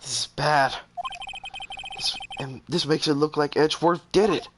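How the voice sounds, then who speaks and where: A woman's voice: low voice, fairly even intonation, moderate pace, noisy background, lightly acted. A woman voicing an earnest, worried young male defense lawyer in a video game, in a casual way. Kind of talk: video game dialogue voice-over.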